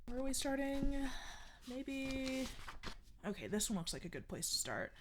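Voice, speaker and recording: A woman's voice: sits high, sing-song, moderate pace, a little hiss or hum. A woman hosting a horror comedy fiction podcast, rambling along with lots of fillers. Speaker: podcast host playing a restaurant worker. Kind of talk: scripted horror comedy monologue.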